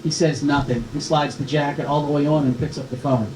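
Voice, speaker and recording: Guy reading screenplay directions, kinda high voice, roomy echo. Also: steady tone, a little fast, a little mellow. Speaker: stage directions reader. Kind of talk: scripted narration at a screenplay table read. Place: live film festival table read. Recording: echoey room, faint noise.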